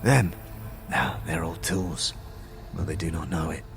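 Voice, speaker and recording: An actor playing a talking skull, a dead anarchist who is bitter, conspiratorial and speaks in streetwise slang, in a fantasy audio drama. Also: male voice, a bass voice, a little slow, gravelly, soft background music, exaggerated voice. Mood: bitter, guarded. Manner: streetwise slang, scornful, guarded.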